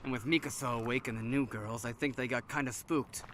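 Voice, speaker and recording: A man's voice: mid-range voice, fairly even intonation, brisk, a bit bright, a little hiss or hum, lightly acted. An actor playing a wry, worried man of twenty-nine surviving a zombie apocalypse in a conversational audio drama. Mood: worried, earnest. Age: 20s.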